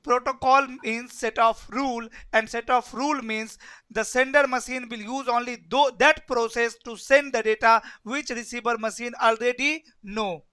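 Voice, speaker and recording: Bright-voiced man teaching networking. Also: extremely high pitch, fairly even intonation, normal speed, clear, resonant tone. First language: Hindi. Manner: repetitive, keeps asking "yes or no?". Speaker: networking instructor. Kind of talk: live online class lecture.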